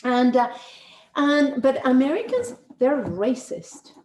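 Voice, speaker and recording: High-voiced woman with a Spanish accent. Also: very animated, slow pace, darkish tone. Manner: rambling, many restarts and "right?" tags. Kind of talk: casual conversation. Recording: echoey room.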